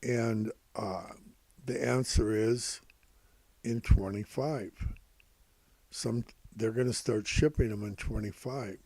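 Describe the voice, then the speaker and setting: An older man giving informal, rambling financial commentary in a halting, repetitive, folksy way, calling himself Grandpa. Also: fairly low pitch, steady tone, darkish tone, dry recording. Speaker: host of stock prediction videos.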